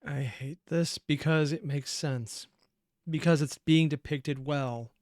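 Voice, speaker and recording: A man's voice: fairly high pitch, steady tone, takes it slowly, a little mellow, no room sound, studio quality. A man hosting a reaction video, analyzing as he watches and often trailing off mid-thought. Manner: thinks aloud, trails off mid-sentence, analytical. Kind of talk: casual commentary reacting to a video.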